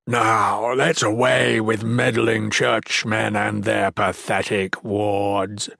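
A man voicing a monstrous, rotting undead tyrant in a fantasy audiobook, mocking and imperious, with a rumbling voice and slow, grandiose menace. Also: little pitch movement, vocal fry, bright, forceful tone, no room sound, clean studio sound, heavily acted. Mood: contemptuous. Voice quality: rumbling, thunderous.

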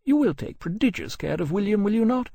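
Man doing a high-voiced genteel older lady. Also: sing-song, brisk, vocal fry, warm tone, no room sound, studio quality, exaggerated voice. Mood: fond. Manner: genteel, mannered, gracious. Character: genteel older mother, gracious aristocratic lady.

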